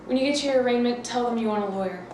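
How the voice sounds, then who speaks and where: An actress as a world-weary policewoman in a radio thriller, firm and measured. Her fairly high voice sounds distant, very echoey and noisy. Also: natural voice. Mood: stern. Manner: measured, official.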